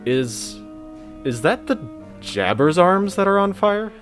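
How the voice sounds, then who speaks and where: A man's voice: high voice, big pitch swings, slow pace, faint music behind, exaggerated voice. A young man playing a mild, earnest, slightly hesitant friend in a scripted romantic comedy audio drama. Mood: startled. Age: young adult.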